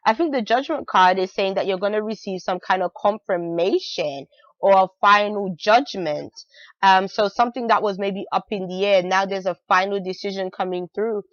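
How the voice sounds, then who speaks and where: A woman with a cold and a raspy voice, chatting casually through a monthly tarot reading, full of "um" and "like". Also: medium pitch, no echo, dull audio. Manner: chatty, many fillers like "um" and "like". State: has a cold, raspy voice, clearing throat. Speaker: tarot reader.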